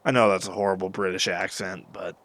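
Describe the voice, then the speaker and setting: A man's voice: low voice, level tone, a little fast, fairly bright tone, dry recording. A man from Western Canada with a Canadian accent, giving casual, rambling gameplay commentary as a video host. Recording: noisy, game gunfire behind voice. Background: game battle sounds with gunfire.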